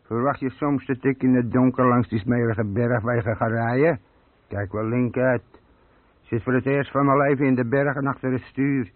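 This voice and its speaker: An actor playing a talkative, self-satisfied older burglar in a radio play, colloquial and boastful. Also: male voice, medium pitch, level tone, normal speed, no echo, close to an everyday voice. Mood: indignant. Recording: dry, muffled telephone-like old radio recording.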